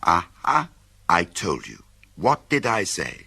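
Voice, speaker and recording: Deep, jovial, teasing gourmand. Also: male voice, wildly swooping pitch, slow, creaky, husky, no echo, heavily acted. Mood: smug, jovial. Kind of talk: radio drama acting. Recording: loud music under the voice. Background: loud music.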